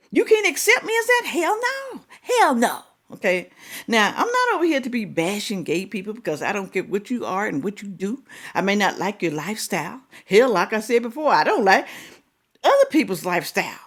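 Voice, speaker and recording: A woman hosting a reaction video, talking casually, scolding and animated with drawn-out exclamations. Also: a little high.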